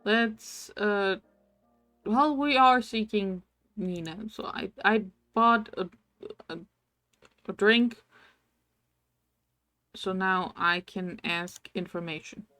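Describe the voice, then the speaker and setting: Slow-talking woman streamer, dry close sound. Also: mid-range voice, a bit bright, no room sound, soft background music. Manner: reads game text aloud between musing asides; coos affectionately at game pets. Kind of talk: casual game-stream commentary.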